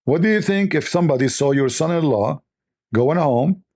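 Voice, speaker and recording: Male host, slightly high voice, hoarse from overuse, scornful lecturing tone, non-native English. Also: expressive, moderate pace, darkish tone. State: losing his voice. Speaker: religious debate host. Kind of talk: polemical commentary reading texts.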